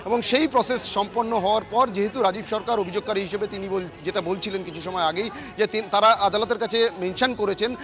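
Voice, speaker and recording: A man's voice: high-pitched, fast talker. A man with a West Bengal Bengali accent gives a live field report outdoors, emphatic and running on in long sentences.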